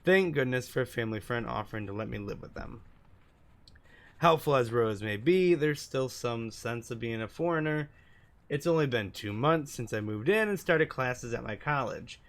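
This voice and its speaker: A man's voice: medium pitch, lively intonation, moderate pace, a bit bright. A man doing let's play commentary and reading game text aloud, sometimes stumbling over the words. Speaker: gaming YouTuber. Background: background music.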